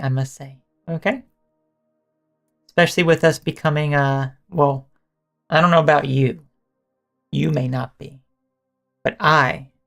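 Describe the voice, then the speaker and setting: A hoarse woman streamer chatting casually and teasingly with her viewers as she wraps up a live stream, often correcting herself mid-sentence. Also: sits low. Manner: rambling, self-correcting, teasing chat toward viewers.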